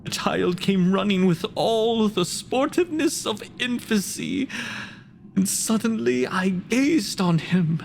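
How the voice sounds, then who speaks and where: A wretched, vengeful monster delivers a theatrical monologue. The voice is high for a man and creaks with gravel, and each phrase comes slowly, set apart by heavy pauses. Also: expressive, full character voice. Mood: rising, desperate. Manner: slow, deliberate, literary delivery with heavy pauses. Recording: faint background noise, home video-call performance.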